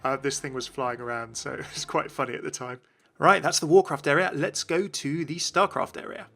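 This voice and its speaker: A man's voice: on the high side, fairly even intonation, a little fast, gravelly, sharp tone. A man narrating a video as a game commentator, explaining things in an informal way.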